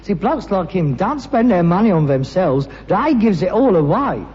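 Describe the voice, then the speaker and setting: An aspiring London junk dealer with a very animated, emphatic delivery. He argues scornfully and slips into mock-genteel airs in British sitcom style. The old recording is muffled and reverberant. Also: high-pitched, moderate pace. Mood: indignant. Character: argumentative, gullible, aspiring rag-and-bone man, the son. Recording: muffled, noisy, echoey old broadcast recording.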